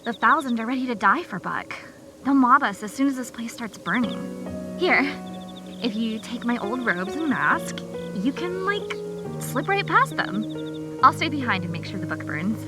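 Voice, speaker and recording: A woman's voice: a little high, lively intonation, measured pace. A woman playing a sassy, lovesick young ex-cultist in a visual novel game, with slangy, dramatic phrasing. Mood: brisk, sassy. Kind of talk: animated visual novel acting. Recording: game audio with music behind.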